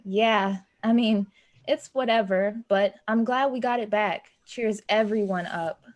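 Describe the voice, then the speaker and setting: A woman's voice: mid-range voice, measured pace, close to an everyday voice. An actress playing a friendly, shy woman in her twenties in an online play reading, casual and hesitant. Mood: easygoing. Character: friendly, shy 23-year-old woman working as lobby attendant. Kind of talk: naturalistic acting in an online staged play reading. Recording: dull, lo-fi online recording.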